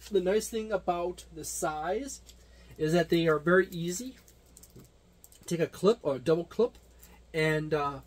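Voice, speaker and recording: A man giving a casual, unscripted product overview as a hobby reviewer, talking haltingly and wandering into side remarks. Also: on the high side. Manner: halting, digressive delivery. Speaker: tabletop gaming reviewer.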